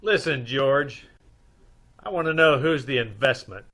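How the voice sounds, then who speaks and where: Man playing a bright-voiced, suave private detective. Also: medium pitch, expressive, unhurried. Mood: brisk. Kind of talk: old-time radio comedy-mystery acting.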